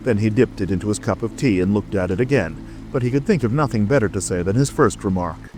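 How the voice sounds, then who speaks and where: Male audiobook narrator: low pitch, scripted storytelling, music bed. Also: fast.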